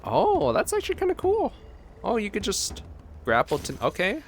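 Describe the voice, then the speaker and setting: A man's voice: high voice, wildly swooping pitch, normal speed, a little hiss or hum. A man hosting a casual video game reaction, with wry, playful asides.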